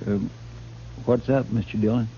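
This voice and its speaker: An actor playing a loyal, folksy deputy with a rural American accent, deferential and plain-spoken, in a 1950s radio western. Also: male voice, mid-range voice, fairly even intonation, moderate pace, warm tone, lightly acted. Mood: dutiful, uneasy. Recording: dull, hissy old radio recording.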